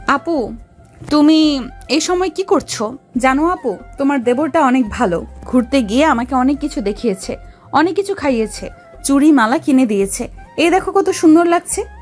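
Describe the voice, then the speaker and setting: A woman's voice: high-pitched, lively intonation, normal speed, darkish tone, faint music behind. A woman playing an affectionate, anxious young woman in an audio love story. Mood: excited, affectionate.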